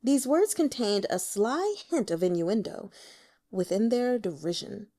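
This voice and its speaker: A woman narrates a story in a medium-pitched voice that moves up and down with lots of animation. Her delivery is scripted, expressive and dramatic, like an audiobook reader telling a fantasy tale. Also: measured pace.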